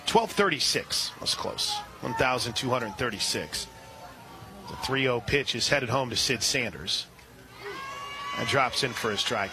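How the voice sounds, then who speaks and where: A man doing live radio play-by-play for a softball game, chatting between pitches and shouting on big plays. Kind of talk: live radio sports commentary.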